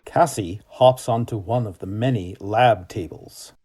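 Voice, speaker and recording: A man's voice: fairly low pitch, a little slow, dark tone, slight background noise. A man narrating a radio play as scripted drama, in a theatrical manner.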